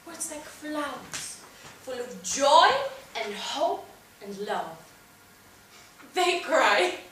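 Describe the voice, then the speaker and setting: Echoey, high-voiced, passionate stage actress played by a woman. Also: sing-song, bright, forceful tone, exaggerated voice. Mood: impassioned yearning. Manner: dramatic, halting delivery with bursts. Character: passionate, yearning stage actress mourning her lost gift. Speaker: drama student performing an exam monologue. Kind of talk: theatrical stage monologue. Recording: very echoey room, distant mic, faint noise.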